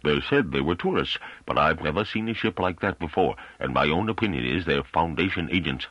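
A man's voice: rumbling low, lively intonation, fairly quick, a bit bright, breathy edge, no room sound, exaggerated voice. A man voicing a big male underling, falsely humble and needling, in an audiobook with a dull recording. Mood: probing.

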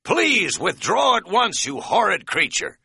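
A man voicing a mocking imitation of a prissy, pompous gentleman shouting in theatrical affront in an audiobook. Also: sits high, sing-song, measured pace, creaky, breathy edge, no room sound, studio quality, voice pushed up, exaggerated voice. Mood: mocking, theatrical outrage. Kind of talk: audiobook character dialogue.

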